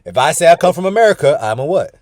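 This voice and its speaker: A man's voice: fairly high pitch, very animated, racing, a little mellow, dry recording, clean studio sound. A man coaching a weight-loss group on a video call from his car, talking casually and often calling the group "y'all". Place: inside his parked car.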